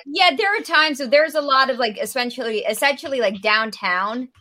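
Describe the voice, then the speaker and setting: A woman's voice: sits high, moderate pace, pushed and bright. A woman chatting on a video call, explaining things at length with plenty of fillers. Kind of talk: casual chat on a video call.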